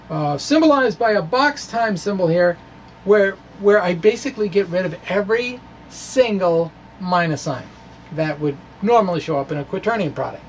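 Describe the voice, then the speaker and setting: A middle-aged man giving an informal physics explanation in a quirky, wry, halting manner with animated emphasis. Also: high voice, poor audio. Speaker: physics video blogger. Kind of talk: informal explanatory monologue, dramatic reading of own blog. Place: small room with bookshelves. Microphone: handheld-style microphone on stand in front of him. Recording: clear background room noise.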